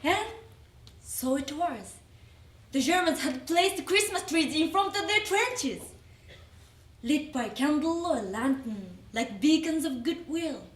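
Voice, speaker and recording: Slow, high-voiced woman reciting, echoey. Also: animated. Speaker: reader in a readers theater performance. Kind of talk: dramatic recited reading of a wartime letter. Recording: echoey room.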